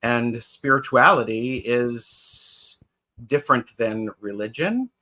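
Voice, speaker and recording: A man's voice: medium pitch, lively intonation, takes it slowly, sharp tone. A man hosting a casual interview chat, encouraging his guest with frequent affirmations. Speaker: host.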